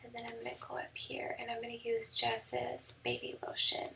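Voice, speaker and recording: Hoarse, whispery woman, gravelly tone. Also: on the high side, moderate pace, far from the mic. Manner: relaxed, with many ums. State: losing her voice, hoarse and whispery. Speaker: newborn photographer. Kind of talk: screen-recorded editing tutorial. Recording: mic set back, faint background noise.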